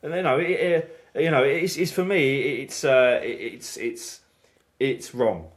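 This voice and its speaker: A man's voice: medium pitch, takes it slowly. A man hosting a casual snooker podcast chat, stumbling and restarting often as he talks things through. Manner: hesitant, many restarts and fillers. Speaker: snooker channel host.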